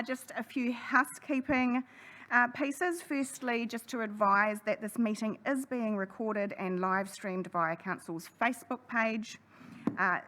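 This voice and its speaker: A woman chairing a council hearing, running through procedure in a brisk, friendly way. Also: on the high side, fairly bright tone. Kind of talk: formal meeting chairing. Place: council chamber.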